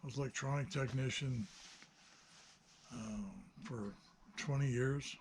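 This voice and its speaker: A man's voice: medium pitch, slow, a little hiss or hum. A man of sixty-nine giving an unscripted, reflective vlog monologue, hesitant and rambling as he thinks aloud. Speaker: hobbyist maker presenting own video channel.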